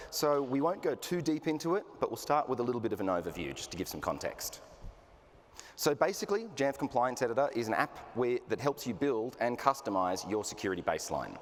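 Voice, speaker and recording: An easygoing man, co-presenting a conference talk. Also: medium pitch. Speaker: customer success manager presenting. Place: conference hall. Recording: reverberant hall.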